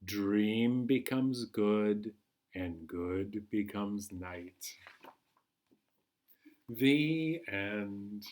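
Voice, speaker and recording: A man hosting a children's storytime, reading a picture book aloud in a measured, singsong way. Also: lowish voice, animated, darkish tone. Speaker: children's storytime host at a library.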